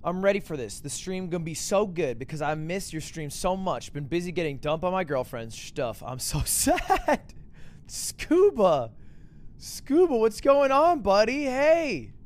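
A man talks directly to a viewer in an upbeat, playful way. His voice sits high and moves around a lot. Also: sing-song, measured pace. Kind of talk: casual stream chat.

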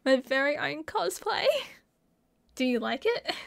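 A young woman with an Australian accent playing an excitable, mischievous college friend in a casual audio roleplay. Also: high-pitched, a little slow, gravelly, heavily acted. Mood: excited, playful. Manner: playful, giggly, slangy. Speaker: roleplay voice performer. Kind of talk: ASMR roleplay acting, casual chat to a friend.

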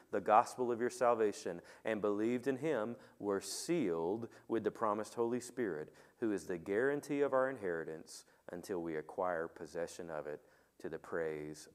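A middle-aged man preaching a sermon as pastor in a church sanctuary on a headset mic, talking with the congregation and asking them questions, and praying quietly. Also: on the low side. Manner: conversational, asks the congregation questions; soft, quiet when praying. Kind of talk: sermon with opening prayer. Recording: long reverb of a church hall.

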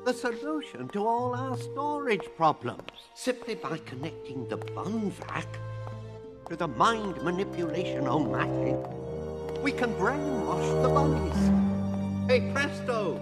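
A man voicing a genial, bumbling middle-aged inventor with a Northern English accent in an animated game. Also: high-pitched, takes it slowly, loud music behind the voice, full character voice. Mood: excited. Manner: eager, genial, exclamatory. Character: cheery, bumbling middle-aged male inventor and pest controller. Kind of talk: animated game cutscene acting.

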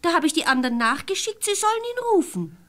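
An actress playing a naive, chatty, simple-minded peasant wife in a fairy-tale audio play, artless and full of exclamations. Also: female voice, high-pitched, sing-song, fast talker, a bit bright. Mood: innocent. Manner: artless, exclamatory, self-justifying.

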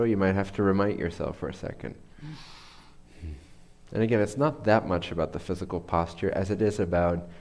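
Deep voiced guy, teaching a guided meditation, takes his time, pauses to find words. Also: darkish tone. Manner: unhurried, searching for words.

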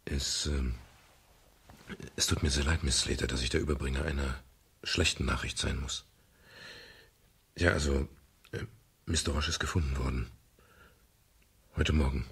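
An actor playing a wry, world-weary private detective in a German radio crime drama, speaking in a dry, laconic way. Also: male voice, rumbling low, fairly even intonation, moderate pace, creaky, long pauses, a bit bright, breathy edge, exaggerated voice. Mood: subdued, grave. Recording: faint hiss, 1970s radio recording.

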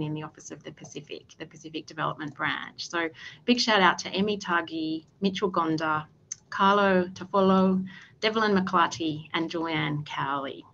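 A woman in her thirties with an Australian accent, giving earnest, measured panel remarks over a video call, with occasional fillers. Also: on the low side, a bit bright, muffled recording, a little hiss or hum. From Australia, Western Sydney. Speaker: panelist, government official. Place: indoor office. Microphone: video call webcam.

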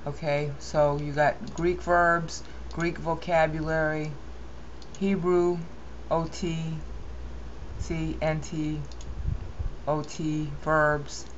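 A woman giving a rambling, opinionated screen-recorded software tutorial, often correcting herself mid-sentence. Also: low-pitched, little pitch movement, very slow, highs cut off, slight background noise.

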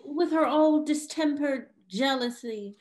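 A woman playing a poised, frank young gentlewoman who gossips, in a stagey Restoration comedy reading. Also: high voice, slow. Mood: poised, curious. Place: indoor home room on a video call.

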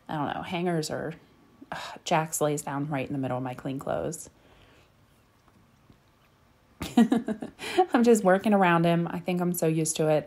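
A woman's voice: deep voice, vocal fry, darkish tone. A woman in her thirties chatting casually over her home cleaning video, rambling with lots of ums. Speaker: cleaning vlogger. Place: home bedroom.

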